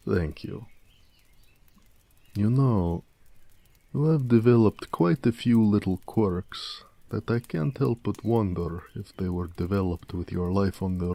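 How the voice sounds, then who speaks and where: A man playing a proud, gentle orc blacksmith in an intimate, affectionate audio roleplay, speaking tenderly and modestly. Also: slow, creaky, long pauses, dry recording, heavily acted. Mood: fond, curious. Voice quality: deep, mellow.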